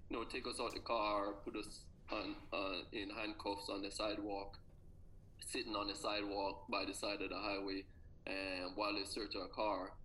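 A man's voice: fairly low pitch, little pitch movement, normal speed, sharp tone, slight background noise, low-quality recording. A man in his forties with a Jamaican accent, a track coach and former athlete, telling earnest personal stories in an interview. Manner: earnest, reflective storytelling.

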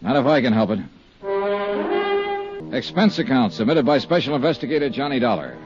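An actor playing a seasoned insurance investigator in a 1950s radio detective drama, with an American accent and clipped, matter-of-fact delivery. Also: male voice, mid-range voice, sing-song, brisk, close to an everyday voice. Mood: alert. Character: seasoned male insurance investigator narrating his case. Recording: dull vintage radio recording with music.

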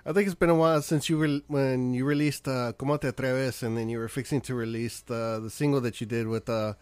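Hoarse, raspy radio host. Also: male voice, medium pitch, fairly even intonation, brisk, no echo. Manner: loud, announcer-like intros. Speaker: podcast host. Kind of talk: radio podcast interview.

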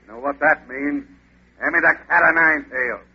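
An actor playing a blustering, cruel old sea captain in a 1940s radio comedy, barking orders heartily. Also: male voice, a little high, moderate pace, lightly acted. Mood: gruff menace. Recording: muffled vintage radio recording.

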